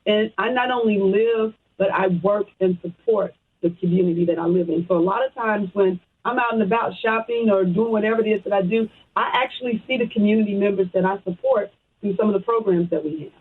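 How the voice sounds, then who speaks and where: A woman's voice: mid-range voice, brisk, husky. A woman from Chicago with an American accent, answering conversationally as a phone-in guest on a radio interview. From Chicago, United States. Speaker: radio guest, social services program supervisor. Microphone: phone call. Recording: muffled, tinny phone line.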